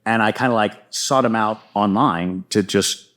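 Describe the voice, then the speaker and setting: A middle-aged man with an American accent, chatting earnestly and at length as an interview guest, telling stories in an animated way. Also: on the low side, normal speed. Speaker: podcast guest, filmmaker and puppeteer. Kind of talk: casual interview chat.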